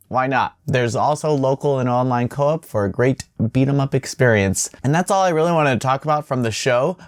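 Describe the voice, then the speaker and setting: A man's voice: mid-range voice, a little mellow, no room sound. Casual male gaming news host, dry close sound. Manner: informal, offhand, opinionated asides. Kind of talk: casual video game news roundup.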